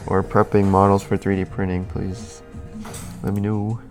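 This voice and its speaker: Low gravelly man chatting on a livestream. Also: moderate pace, faint music behind. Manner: relaxed, rambling, reads and answers chat. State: a little sniffly. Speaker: livestream host. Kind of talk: casual livestream chat.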